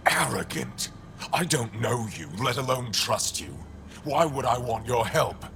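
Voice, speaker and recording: An actor playing a gruff, distrustful male alien resistance commander in a video game, curt and stern. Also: medium pitch, animated, measured pace, sharp tone, slight background noise, exaggerated voice. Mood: hostile, stern. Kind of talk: video game dialogue acting.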